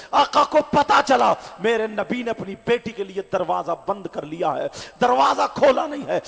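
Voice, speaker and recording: A man preaching a devotional sermon, telling the story with impassioned shouting and chanted, sing-song phrases. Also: high-pitched, creaky, breathy edge. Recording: reverberant hall with faint crowd.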